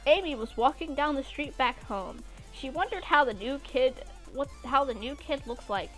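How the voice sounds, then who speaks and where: A woman's voice: sits high, animated, normal speed, a bit bright. A woman narrating fan fiction and breaking off for irreverent, informal asides. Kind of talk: story narration with casual asides. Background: music.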